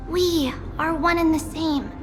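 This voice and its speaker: A very high, slow, childlike voice carries the gravity of an ancient priest. The speech is formal and measured. Music plays under it in this game cutscene. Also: female voice, fairly even intonation. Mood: solemn. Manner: grave, formal, speaks of herself as "we". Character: ancient high priest in a young girl's body, solemn.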